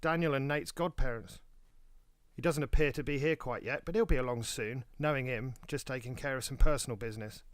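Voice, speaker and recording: A man playing a composed, stern royal godfather in an audio drama, with measured, formal delivery. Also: medium pitch, no room sound, lightly acted. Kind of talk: scripted audio drama acting.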